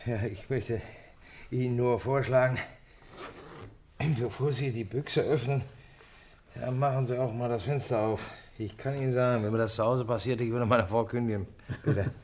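An actor playing a fussy, easily flustered, dryly humorous police officer, speaking hesitantly and deliberately in a radio drama. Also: male voice, mid-range voice, steady tone, darkish tone, slight background noise, close to an everyday voice. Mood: wry. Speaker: police officer dictating a report.

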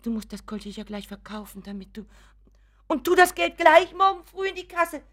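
A woman's voice: high voice, sing-song, moderate pace, fairly bright tone. An actress playing an anxious, doting middle-aged mother in a radio drama, pleading and hesitant with her grown son. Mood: desperate pleading. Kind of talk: German radio drama acting.

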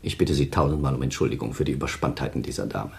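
A man's voice: rumbling low, very fast, gravelly, a little mellow, breathy edge, full character voice. An actor playing a callous, self-pitying man of thirty in a German radio play, haughty, theatrical and dismissive. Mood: haughty, irritated. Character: cold, self-pitying thirty-year-old man, callous scornful former lover.

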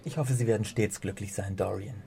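An actor playing an earnest, concerned gentleman painter in a radio drama, serious and restrained in his delivery. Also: lowish voice, brisk, creaky, breathy edge, full character voice.

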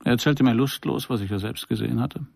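An actor playing a world-weary private detective, narrating a noir radio drama in a dry, laconic way. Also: male voice, mid-range voice, quick pace, creaky, a little mellow, husky, no echo. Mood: dry, observant.

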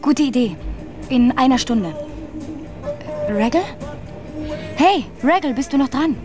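An actress in a naturalistic radio drama playing a casual, mildly guarded married housewife. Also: female voice, high-pitched, lively intonation, unhurried, over music.

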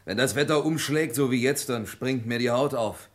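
An actor playing a plain-spoken, defensive village farmhand in a German radio drama, justifying himself. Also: male voice, medium pitch, moderate pace, lightly acted.

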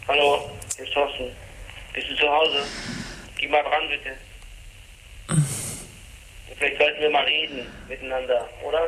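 A subdued boyfriend talks on an answering machine. His voice is highish, sharp and level in tone, with faint noise, in natural drama style. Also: flat delivery, normal speed, pauses often, rough recording. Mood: pleading. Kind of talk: naturalistic radio drama acting.

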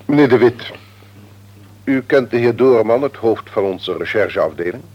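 An actor playing a stern, pompous company executive in a radio play, with officious, formal phrasing. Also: male voice, medium pitch, lively intonation, moderate pace, muffled recording, slight background noise, close to an everyday voice. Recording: old radio play recording.

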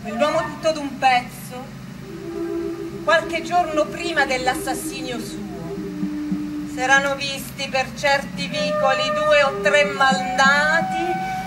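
A woman acting as a grim storyteller, narrating history in a dramatic, drawn-out theatrical style. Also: high-pitched, low-quality recording. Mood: grim, suspenseful. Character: grim female storyteller foretelling a murder. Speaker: actress and narrator. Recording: voice over loud music.

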